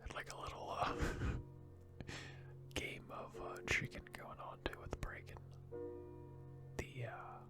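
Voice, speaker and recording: A video host whispers gaming commentary for ASMR, with no real voicing, just breath. The talk wanders and hesitates, close to the mic. Music plays clearly underneath. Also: slow, long pauses. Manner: rambling, hesitant.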